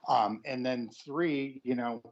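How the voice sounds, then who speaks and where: A man putting pointed, halting questions as an analyst on an earnings call. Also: mid-range voice, fairly even intonation. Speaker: financial analyst caller. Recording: dull lo-fi call line.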